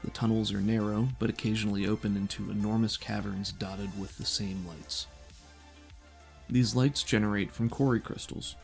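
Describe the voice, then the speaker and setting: A man runs a tabletop game, narrating scenes in a measured, descriptive way. His voice sits low with a gravelly creak. Soft music plays behind him. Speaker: game master.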